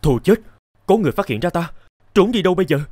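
An actor playing a naive, smitten young scholar in an animated fairy tale, earnest and theatrical. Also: male voice, high-pitched, very fast, no room sound, studio quality. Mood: flustered.

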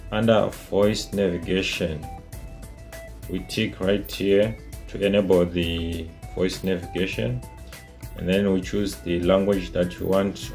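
A man hosting a casual game tutorial, explaining things plainly step by step. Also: low-pitched. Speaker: tutorial host.

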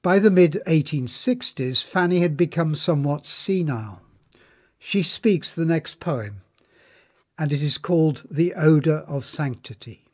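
Formal male narrator, slightly high voice. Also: a little slow. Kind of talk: scripted biographical narration.

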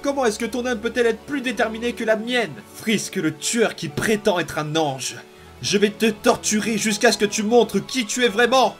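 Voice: mid-range voice, expressive, moderate pace, soft background music, close to an everyday voice. Menacing, unhinged demon boy, acted out. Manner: menacing, taunting, theatrical outbursts and mutters. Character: vicious, unhinged young demon boy, obsessive and domineering. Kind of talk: dramatic comic fan-dub acting.

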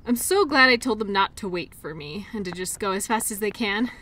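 A woman's voice: medium pitch, animated, brisk, bright, forceful tone. A woman playing an affectionate, playful girlfriend in a roleplay audio, talking breezily as if to her partner. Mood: playful, tired. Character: tired, playful, caring girlfriend at a mud race. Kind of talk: intimate roleplay audio acting, one-sided conversation.

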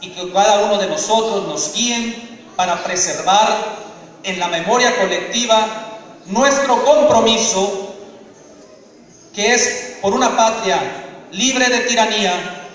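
A man's voice: high voice, a bit bright. Mexican man giving a solemn speech. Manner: solemn, measured oratory. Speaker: speaker at a commemoration. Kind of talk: formal commemorative speech. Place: large open-sided community hall. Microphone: podium microphone through PA speakers, filmed from afar. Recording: echoey PA sound from far off.

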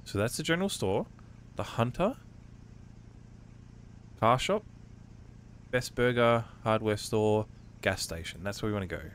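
A man with a slightly low voice talks through his gameplay in an easygoing commentary style. He has a cold that colors his voice. He reads on-screen instructions aloud and thinks out loud as he goes. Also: expressive, a little slow, pauses often, slight background noise. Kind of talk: casual let's-play commentary.

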